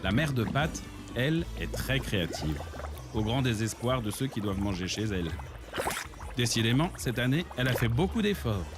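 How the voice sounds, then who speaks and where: A man narrating a cartoon in a scripted, gently ironic storytelling manner. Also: medium pitch, big pitch swings, moderate pace. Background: music.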